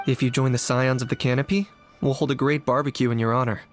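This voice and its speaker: An actor voicing a matter-of-fact, deadpan tribesman in a video game. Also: mid-range voice, fast talker, faint music behind, close to an everyday voice. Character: dry, deadpan tribesman offering a barbecue.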